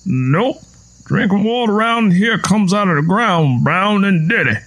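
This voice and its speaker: A man voicing a gruff old tenant farmer with a strained, choked voice and blunt, folksy talk. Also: high-pitched, lively intonation, measured pace, a bit bright, pitched above the performer's own voice, exaggerated voice. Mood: gruff, matter-of-fact. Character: gruff old backwoods Southern father-in-law, hard-drinking tenant farmer.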